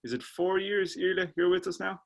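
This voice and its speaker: A man with an Irish accent, a band member chatting on a video call, easygoing and quick to correct himself with a laugh. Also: high voice. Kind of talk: casual interview chat.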